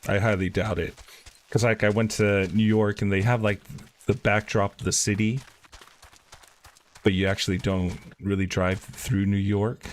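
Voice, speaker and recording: A man's voice: on the low side, flat delivery, moderate pace, a little hiss or hum. A man streaming himself playing an online game, chatting casually with frequent fillers. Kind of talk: casual live-stream commentary.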